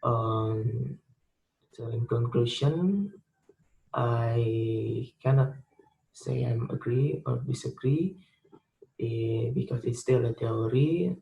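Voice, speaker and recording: A man's voice: medium pitch, takes it slowly, vocal fry, dull audio. A young man with an Indonesian accent, a student giving a spoken class presentation, hesitant, with many fillers and restarts. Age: young adult.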